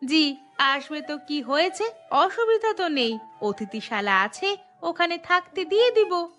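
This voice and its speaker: A woman playing a practical, chatty village housewife in a Bengali cartoon, speaking in an expressive, homely way. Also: sits high, a little slow, faint music behind, exaggerated voice.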